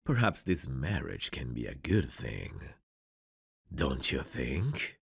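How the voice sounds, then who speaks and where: A man acting a courteous, tender mafia bridegroom in an intimate ASMR romance roleplay, soft-spoken and flirtatious with a husky, gravelly voice. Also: a bass voice, huge pitch swings, slow pace, no echo, studio quality, exaggerated voice. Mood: seductive, teasing.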